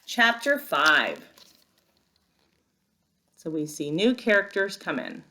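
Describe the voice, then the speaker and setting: Expressive woman teacher reading to children. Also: low-pitched, slow. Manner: expressive, instructive storytelling. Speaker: first-grade teacher. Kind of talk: read-aloud lesson for young children.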